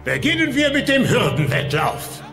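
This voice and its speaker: A man playing an excitable Viking games announcer, proclaiming theatrically in an animated-series audio drama. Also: very high voice, moderate pace, creaky, reverberant, music in the background, heavily acted. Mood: announcing, excited.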